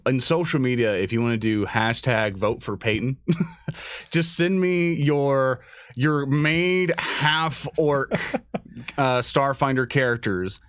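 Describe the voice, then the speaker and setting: A man's voice: mid-range voice, a bit bright, dry recording, studio quality. A man hosting a livestream in a casual, enthusiastic, slangy and rambling chat style. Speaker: livestream host.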